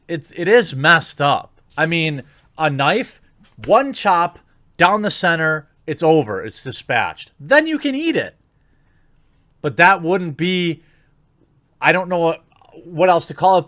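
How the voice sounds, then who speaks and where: A man's voice: fairly high pitch, big pitch swings, measured pace, fairly bright tone, dry recording, studio quality. A man giving opinionated video commentary in an indignant, informal, sarcastic manner. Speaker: YouTube commentator.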